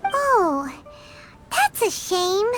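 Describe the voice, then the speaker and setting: A woman voicing a tiny, childlike fairy companion in a video game, squeaky, cutesy, cheerful and earnest. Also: wildly swooping pitch, drawn-out words, heavily acted. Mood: friendly, cheerful. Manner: cutesy, refers to self in third person. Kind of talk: scripted video game character dialogue. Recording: loud game music behind.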